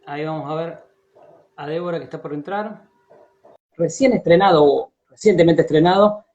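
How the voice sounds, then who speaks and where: An Argentine man hosting a casual live-stream interview, speaking haltingly and circling back over his phrases. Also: on the high side, a little mellow. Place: live video call on social media. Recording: dull, lo-fi internet call audio.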